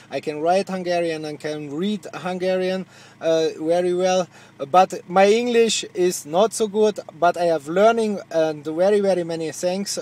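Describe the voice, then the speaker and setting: Halting higher-voiced German man on radio. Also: darkish tone. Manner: halting, repetitive, searching for words. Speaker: amateur radio operator. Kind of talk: casual radio contact chat.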